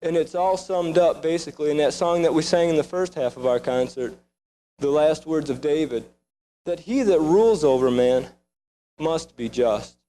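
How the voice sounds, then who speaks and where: Male testimony speaker, soft-edged tone, reflective, light background noise. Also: on the high side, moderate pace. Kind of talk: spoken testimony between songs. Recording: slightly noisy hum behind voice.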